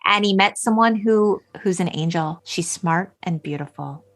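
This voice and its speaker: A woman hosting a podcast, chatting casually and retelling film dialogue in an animated way. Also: fairly low pitch, normal speed. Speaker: podcast host. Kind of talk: casual chat, recapping a film.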